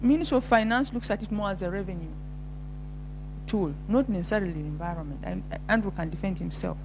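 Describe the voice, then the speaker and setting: A woman speaks off the cuff on a conference panel, circling back and restarting her points. Her pitch sits in the middle range. A steady hum and background noise run under her voice. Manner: halting, many restarts and fillers. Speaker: panelist from a research institution. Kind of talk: spontaneous panel remarks.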